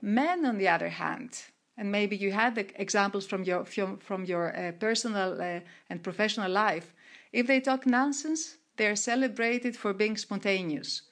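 A middle-aged woman from Greece, answering interview questions in a measured way with frequent restarts and fillers. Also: medium pitch, a bit bright. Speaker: guest, researcher and coach of women entrepreneurs.

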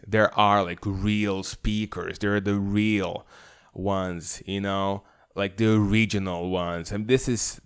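Male podcast host, Portuguese native with a Brazilian accent; smooth, resonant, slightly low voice, easygoing and chatty. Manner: relaxed, chatty, many fillers and restarts. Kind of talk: solo podcast monologue.

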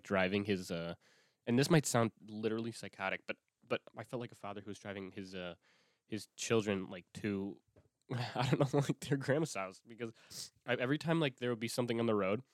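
A man's voice: on the low side, a little fast, dry recording, studio quality. Rambling young man with a cold. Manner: rambling, swears a lot, many restarts. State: has a cold, sniffling. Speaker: podcast host. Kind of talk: casual solo podcast monologue.

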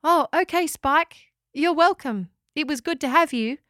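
A woman voicing a gentle, polite female walking house in a storybook. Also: high-pitched, unhurried, dry recording, clean studio sound, voice pushed up. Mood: kind. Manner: soft and polite.

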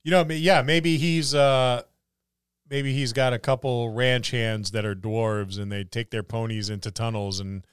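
Smooth-voiced man in casual chat. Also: mid-range voice, steady tone, unhurried, dry recording, studio quality. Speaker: podcast co-host. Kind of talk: casual chat about tabletop gaming.

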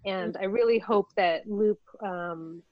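A woman film producer giving a thoughtful interview answer in an earnest, halting way, on dull lo-fi audio. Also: medium pitch, no room sound.

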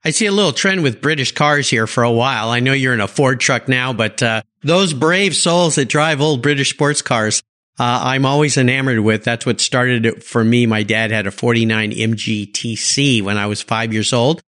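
A man's voice: medium pitch, moderate pace, fairly bright tone. A man from San Diego hosting a car podcast, eagerly praising and questioning his guest. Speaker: podcast host. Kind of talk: interview podcast.

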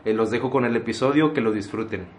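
A man's voice: medium pitch, fast talker. Podcast host, male, from Mexico, easygoing presenter, faint hiss behind. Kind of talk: podcast intro and interviewing. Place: at home, remote call.

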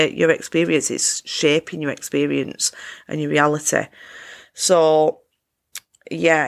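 A woman hosting her own podcast, chatting in a casual, upbeat self-help way with a croaky voice. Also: sits low. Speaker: podcast host. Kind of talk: casual solo podcast chat.

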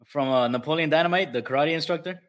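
Relaxed male sidekick voice, slightly high, easygoing interjections. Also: quick pace. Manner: laid-back, chiming in with short replies. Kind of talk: casual chat.

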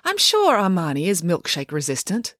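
Storybook role: self-assured, bossy young woman played by a woman narrator, breezy, lowish voice, dry recording. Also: wildly swooping pitch, moderate pace, fairly bright tone, no room sound.

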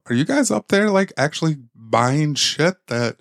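A man co-hosting a comedy podcast, chatting in a relaxed, wry way and laughing along. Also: on the high side, a little slow, creaky, dry recording, studio quality. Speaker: podcast co-host. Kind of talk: casual comedic chat.

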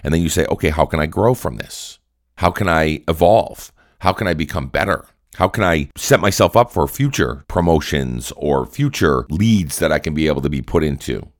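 A man with a cold and a raspy voice, giving earnest motivational talk on his own podcast. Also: rumbling low, animated, moderate pace, no echo, studio quality. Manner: earnest, repetitive, coaching tone. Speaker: podcast host.

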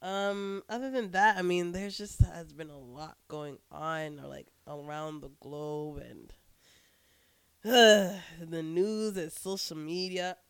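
A woman's voice: fairly low pitch, takes it slowly, clean tone, dry recording. A woman co-hosting a podcast in casual chat at her co-host's home, sounding stuffy from a cold and talking candidly with many restarts. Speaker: podcast co-host.

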